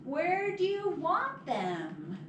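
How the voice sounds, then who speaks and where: A woman's voice: very high-pitched, huge pitch swings, extremely slow, lo-fi sound, voice pushed up, heavily acted. A woman voicing a cheerful, matter-of-fact little pig in a children's picture book, giving simple, spaced-out answers. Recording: echoey, noisy room, far from mic.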